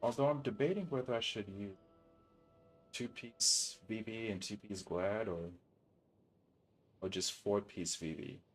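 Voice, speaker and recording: A man's voice: lowish voice, unhurried, long pauses. A man chatting casually and in slang while he plays a game on a livestream, laid-back in manner. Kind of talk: casual chat while gaming. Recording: game music behind the voice. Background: video game music.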